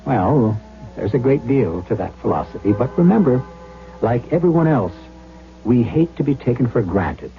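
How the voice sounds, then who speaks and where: A man hosting and narrating a radio drama in a measured, ominous, scripted style. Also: medium pitch, sing-song, faint music behind. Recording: dull old radio recording.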